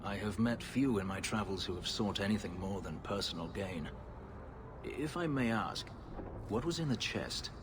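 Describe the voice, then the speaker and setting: Man acting a deep, brooding fugitive warrior. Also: expressive, background noise, natural voice. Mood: guarded, earnest. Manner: formal, grave, measured speech. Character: brooding, bitter elven fugitive slave warrior. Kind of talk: video game voice acting.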